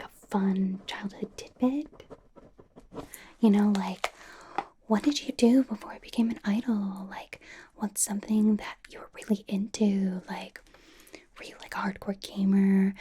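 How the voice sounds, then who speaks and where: A woman playing a bubbly, chatty young female K-pop idol in an ASMR roleplay, rambling casually and confidingly in a breathy whisper. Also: medium pitch, warm tone, lightly acted. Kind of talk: ASMR personal attention roleplay.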